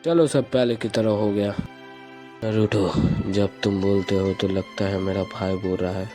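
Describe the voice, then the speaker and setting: A man's voice: on the low side, steady tone, a little slow, mellow, soft background music, natural voice. A man voicing a brooding, intense young male ninja rival in an anime fan dub, solemn and confessional.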